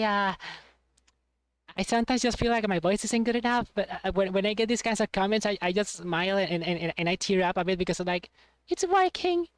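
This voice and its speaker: A woman's voice: mid-range voice, clean tone, dry recording. A woman chatting casually with her stream chat, rambling and self-deprecating, laughing often and restarting her sentences. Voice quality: trained, voice she has been working on.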